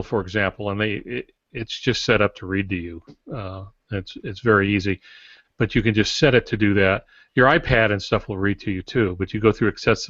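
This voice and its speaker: An older American man with a low voice explains things in a casual, conversational way. He is recorded dry and slightly muffled over a video call, like a podcast co-host. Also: fairly bright tone, no room sound. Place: small indoor office with shelves. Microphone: video call, close to camera.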